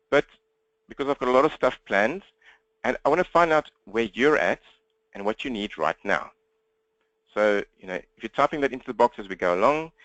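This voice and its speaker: South African male trainer, rapid direct patter, mid pitch, dry room. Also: fairly bright tone, no room sound, lo-fi sound. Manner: fast-talking, upbeat and direct. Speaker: webinar trainer. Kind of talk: live webinar presentation. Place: at home desk speaking to laptop. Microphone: laptop.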